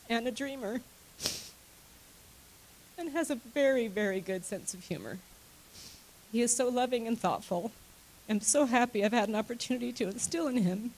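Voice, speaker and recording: A woman's voice: a little high, a little mellow, low-quality recording. A woman playing a devoted, widowed grandmother in a reader's theater monologue, speaking in an earnest, sentimental, reflective way. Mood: proud, loving.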